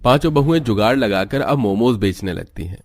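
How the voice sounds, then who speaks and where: A man reading scripted narration for an animated family story. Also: mid-range voice, fairly quick.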